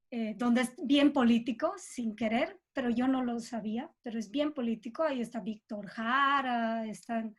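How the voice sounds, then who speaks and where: Woman, middle-aged, Bolivian accent, fairly high voice, expressive, informal interview talk, thinks aloud. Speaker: composer being interviewed.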